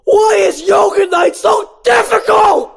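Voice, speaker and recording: A man voicing an irate, exasperated cartoon boyfriend, shouting in an indignant whine. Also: very high voice, takes it slowly, gravelly, some chatter behind, pitched above the performer's own voice, heavily acted. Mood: outraged, whining. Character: irate, exasperated human boyfriend in animated comedy.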